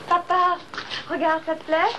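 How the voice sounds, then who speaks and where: A woman's voice: extremely high pitch, little pitch movement, moderate pace, muffled recording. An actress playing a cheerful, gushing woman showing off an outfit in a comic film. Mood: delighted. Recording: noisy old film soundtrack.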